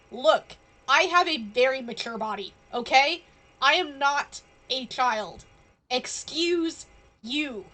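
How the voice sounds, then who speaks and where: High-voiced, unhurried woman streamer. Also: a bit bright. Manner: playful, teasing reactions. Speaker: live streamer playing a game. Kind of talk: casual game commentary.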